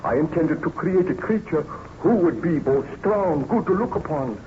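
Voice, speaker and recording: A man's voice: a little high, lively intonation, moderate pace, natural voice. An actor playing a tormented, exhausted baron obsessed with destroying his monster, in melodramatic, solemn radio drama style. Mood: grave confession. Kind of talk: old-time radio drama acting. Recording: muffled, noisy old radio recording.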